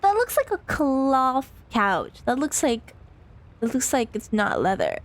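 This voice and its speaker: A woman's voice: high voice, very animated, a little slow. A woman reading a visual novel aloud on a playthrough, breaking off into giggly, amused asides.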